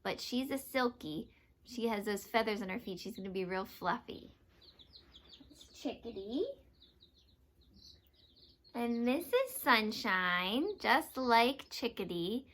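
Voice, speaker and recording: A woman's voice: on the high side, expressive, slow pace, long pauses, fairly bright tone, a little hiss or hum. A woman teacher giving a read-aloud lesson to young children, explaining simply and asking them questions. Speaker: teacher reading aloud to students.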